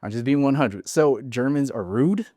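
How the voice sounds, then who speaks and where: A man's voice: mid-range voice, animated, moderate pace, mellow, dry recording. A man from Hawaii in his forties, a podcast guest frankly telling his story and often restarting his words. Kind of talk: casual interview storytelling.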